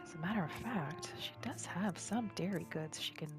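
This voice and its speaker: A woman narrating a game roleplay in a gentle, hushed ASMR style, sometimes dropping to a whisper, unhurried. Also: fairly low pitch. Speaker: gameplay narrator. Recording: music behind the voice. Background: music.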